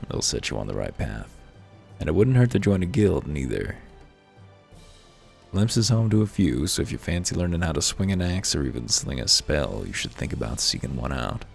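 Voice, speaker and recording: A man's voice: rumbling low, sing-song, moderate pace, gravelly, full character voice. A man voicing a chatty, friendly travelling peddler from a fantasy game, worldly-wise and speaking in folksy dialect, with music behind him. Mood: advising, wry. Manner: folksy dialect, colloquial phrasing. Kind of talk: voiced reading of fantasy game dialogue.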